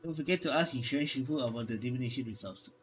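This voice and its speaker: A man reading game dialogue aloud during a playthrough, halting and stumbling over words as he goes. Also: medium pitch, faint music behind. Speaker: gaming streamer.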